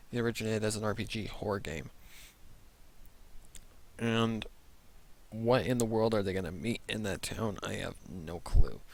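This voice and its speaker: A man hosting a reaction video with casual, off-the-cuff commentary, haltingly reworking his phrases as he goes. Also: lowish voice, vocal fry, fairly bright tone.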